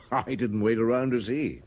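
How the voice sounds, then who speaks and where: An actor in a radio crime drama playing a middle-aged, world-weary but compassionate police chief, husky and measured, speaking deliberately. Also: male voice, medium pitch, darkish tone. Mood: wry.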